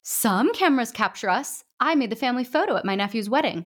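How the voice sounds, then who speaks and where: A woman voicing a shrill, talkative old female ghost with a scheming streak. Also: expressive, fast. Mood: sly gossipy. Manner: talkative, sly.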